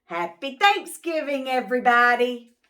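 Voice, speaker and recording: Polite turkey, played by a woman: nervous, grateful guest in a children's story, measured pace. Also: high voice, lively intonation, sharp tone, full character voice. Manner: stammering, hesitant. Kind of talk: children's picture-book read-aloud.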